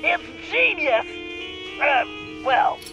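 A man's voice: extremely high pitch, sing-song, very slow, muffled recording, heavily acted. A man playing a brainy, earnest cartoon turtle tech planner in a video game, with a precise, fussy manner. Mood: analytical. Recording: music behind the voice.

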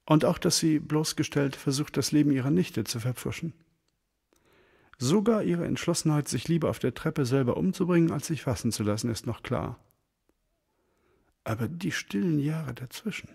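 A man's voice: a little high, lively intonation, moderate pace, vocal fry, long pauses, darkish tone, husky, heavily acted. An actor playing a reflective, articulate man puzzling over a crime in a radio drama. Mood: musing, disillusioned. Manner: musing, articulate.

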